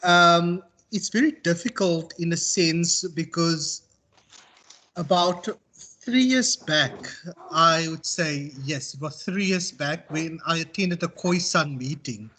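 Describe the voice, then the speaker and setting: A South African man speaking as a university tutor in an informal online class, reflective and halting as he circles back to rephrase. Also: fairly high pitch, pushed and bright, a little hiss or hum. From Western Cape, South Africa. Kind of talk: informal online lecture and discussion.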